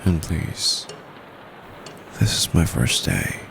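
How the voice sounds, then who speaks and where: A man playing a very deep, gravelly teasing tutor. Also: heavily acted. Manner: soft-spoken, teasing, slow and close. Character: teasing young male private tutor, secretly dominant. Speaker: voice actor. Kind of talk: ASMR roleplay. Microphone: close condenser mic. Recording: steady background noise with traffic.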